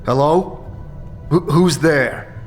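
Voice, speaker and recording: A man's voice: a little high, soft background music, voice pushed up. Man acting a troubled, exasperated fantasy wanderer. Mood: alarmed. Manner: halting, urgent, questioning. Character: exasperated amnesiac male wanderer, searching for answers.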